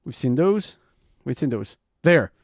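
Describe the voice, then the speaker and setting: Animated man chatting on a livestream. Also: medium pitch, unhurried, dry recording, studio quality. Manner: rambling, informal, swears casually; animated, laughs often. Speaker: variety streamer and host. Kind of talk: casual livestream chat to viewers.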